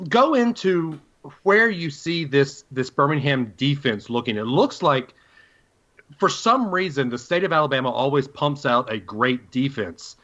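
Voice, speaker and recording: A man's voice: mid-range voice, animated, measured pace, fairly bright tone, lo-fi sound. A man hosting a sports podcast, casually putting questions to his guest. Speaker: sports podcast host, interviewer.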